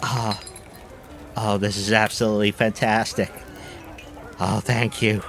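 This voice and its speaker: An actor playing an eager, hearty, effusive merchant in a fantasy audio drama. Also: male voice, lowish voice, level tone, unhurried, fairly bright tone. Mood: eager, grateful.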